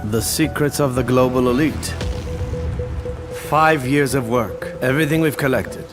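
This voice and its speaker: An actor playing a wry spy trading secrets in a video game, with a conversational manner. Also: male voice, mid-range voice, a little slow, music in the background, lightly acted. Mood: wry, satisfied.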